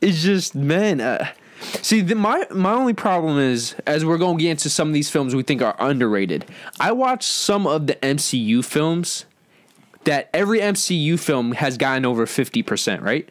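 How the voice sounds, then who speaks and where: A man's voice: fairly high pitch, very animated, normal speed. A man hosting a casual, opinionated film discussion among friends in informal, slangy speech. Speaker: host of an entertainment discussion show.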